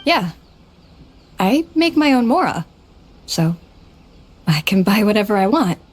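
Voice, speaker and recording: A woman playing a gentle, self-doubting young working woman in a video game, speaking hesitantly. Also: medium pitch, sing-song, unhurried, a little hiss or hum.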